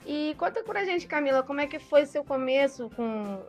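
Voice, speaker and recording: A woman's voice: sits high, animated, normal speed, darkish tone, lo-fi sound, soft background music. A woman hosting a casual interview, prompting her guest with friendly questions. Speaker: host.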